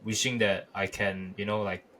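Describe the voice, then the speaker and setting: Hesitant low-voiced Malaysian man. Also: level tone, moderate pace, fairly bright tone, a little hiss or hum. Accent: Malaysian English. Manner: hesitant, many "you know" fillers. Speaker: podcast co-host. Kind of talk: casual chat.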